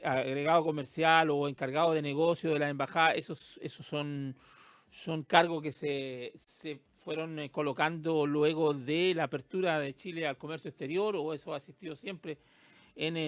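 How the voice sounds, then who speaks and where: A man hosts a radio talk show from the studio, his slightly high voice dry and close to the mic. He asks long, meandering questions, stumbling and restarting as he goes. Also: a bit bright, no room sound. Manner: brief affirmations, rambling halting questions. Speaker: radio host.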